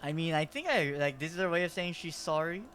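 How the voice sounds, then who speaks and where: High-voiced Filipino streamer, chatty. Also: male voice, fast talker, fairly bright tone, a little hiss or hum. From Philippines. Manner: chatty, rambling, self-deprecating, laughs a lot. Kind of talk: casual game-stream chat.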